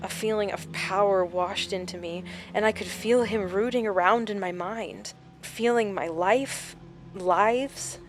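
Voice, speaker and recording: A woman acting in an audio drama as a shaken survivor recounting a supernatural encounter in slow, halting storytelling. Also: mid-range voice, faint music behind, lightly acted. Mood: dread, hushed intensity.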